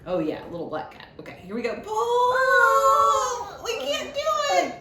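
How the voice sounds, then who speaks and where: A woman's voice: extremely high pitch, huge pitch swings, measured pace, bright, forceful tone. A woman hosting a children's story and cooking video, talking expressively to a small child in simple questions and casual storytelling. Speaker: library staff host of a children's story and recipe video. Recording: roomy echo, clear background noise.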